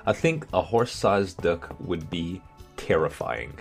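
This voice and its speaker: A young man with a deep voice, casually answering viewer questions for his gaming channel, relaxed and hesitant with many ums. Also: measured pace, creaky, faint music behind.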